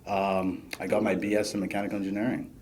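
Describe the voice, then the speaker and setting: A man, a podcast guest talking casually with many pauses and fillers. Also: lowish voice, slight background noise. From Bahamas. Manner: relaxed, many fillers while thinking. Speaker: podcast guest, PhD student. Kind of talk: casual interview chat.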